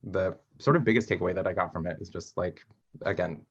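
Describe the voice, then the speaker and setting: A young man being interviewed as a playwright, chatting casually and haltingly, often correcting himself as he thinks aloud. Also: deep voice, husky, lo-fi sound.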